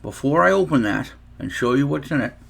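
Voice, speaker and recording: A man's voice: medium pitch, expressive, brisk, creaky, a bit bright, slight background noise. A man chatting casually in an unboxing vlog, telling a long anecdote with asides and restarts. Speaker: guitar reviewer.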